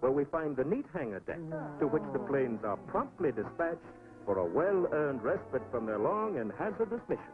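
A male narrator delivers florid 1940s newsreel prose with a hugely animated, theatrical swing. The sound is a tinny old film track with music behind the voice. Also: a little high, moderate pace. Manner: grandiose, theatrical.

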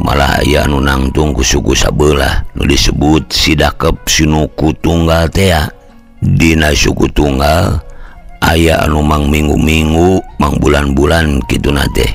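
A man with a Sundanese accent voicing a gruff, opinionated older village elder who explains things in an audio folk story. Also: a bass voice, voice pushed down, heavily acted. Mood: musing. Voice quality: gravelly.

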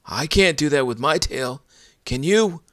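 A man's voice: fairly high pitch, animated, unhurried, fairly bright tone, no echo, studio quality, pitched above the performer's own voice. A man voicing a whimsical, confident animal puppet sidekick in children's banter. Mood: wonder, stubborn.

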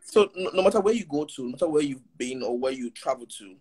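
A man with a Nigerian accent in his thirties hosts over a webcam call from a living room. His voice is slightly husky. He speaks earnestly and chimes in often. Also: mid-range voice, animated, fast talker, a little mellow. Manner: earnest, backchannels with "yeah" and "true". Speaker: podcast host. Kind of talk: casual video-call interview. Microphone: video call webcam.

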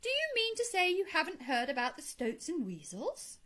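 Storybook water rat acted by a woman: sensible, scolding gentleman, bright and forceful, emphatic phrasing. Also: very high-pitched, huge pitch swings, pitched above the performer's own voice, exaggerated voice. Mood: shocked. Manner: brisk, emphatic, admonishing.

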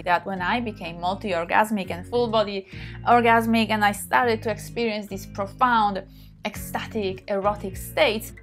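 Woman in her 30s, Polish accent, open chatty to-camera talk, faint music under. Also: medium pitch. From Poland. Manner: warm, open, laughs often, gestures while talking. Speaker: sex therapist, channel host. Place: small bedroom, indoors.

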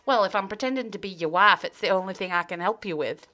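A cheeky, streetwise girl in a radio comedy, full of slang and teasing quips. A woman voices her at a plain middle pitch. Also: fast, pushed and bright, natural voice.

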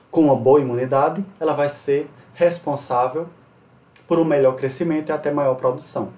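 A man in his thirties from Brazil, giving a recorded online conference lecture in a steady, explanatory way and sometimes correcting himself mid-word. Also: medium pitch, far from the mic. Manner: steady explanatory delivery with occasional self-corrections. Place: small indoor room. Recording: roomy echo, voice off-mic.

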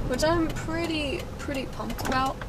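A woman's voice: sits high, moderate pace, noisy background. A teenage girl vlogging, rambling and self-conscious, with lots of fillers and giggles. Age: teens. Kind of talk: casual chat. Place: car while driving, then a bedroom.

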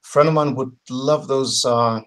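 A man in his forties chatting casually as a guest on a video call, explaining things haltingly and thinking aloud. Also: medium pitch, steady tone. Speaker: podcast guest. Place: home room with bookshelves. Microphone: computer mic on a video call.

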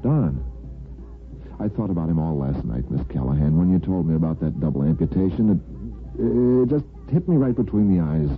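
A man's voice: low voice, lively intonation, normal speed. An American man playing an ardent, plain-spoken bachelor neighbor in an old-time radio soap opera, with earnest, folksy phrasing. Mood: grim, earnest. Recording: muffled vintage radio broadcast with music.

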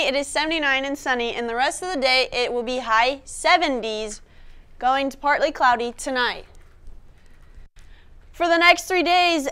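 A woman's voice: high voice, sharp tone. A teenage American young woman anchoring scripted school news announcements. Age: teens. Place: indoor school studio.

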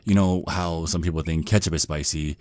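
A man's voice: sits low, steady tone, a little fast, darkish tone, no room sound, close to an everyday voice. A man playing a shy, nervous male vampire in an ASMR roleplay, stammering and hesitating apologetically as he talks. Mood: nervous, awkward. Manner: stammering, hesitant, flustered, rambling. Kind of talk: ASMR roleplay monologue, conversational.

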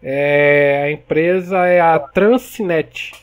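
A young Brazilian man with a Brazilian Portuguese accent, chatting excitedly and joking while playing a truck game online. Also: a little high, very slow, clear, resonant tone, lo-fi sound. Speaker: gaming YouTuber. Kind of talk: casual gameplay commentary.

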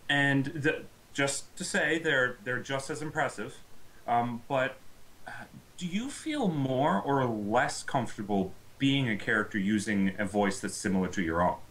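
A man co-hosting a podcast, putting casual questions to a guest in a tentative, halting way. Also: mid-range voice, a bit bright, rough recording. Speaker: podcast co-host.

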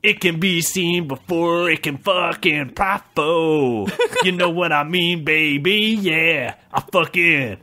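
A man's voice: on the high side, slow pace, few pauses, pushed and bright, heavily acted. A man voicing a little singing bug in a comedy podcast, a brash, foul-mouthed crooner who rambles in improvised profane verse. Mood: crude boasting.

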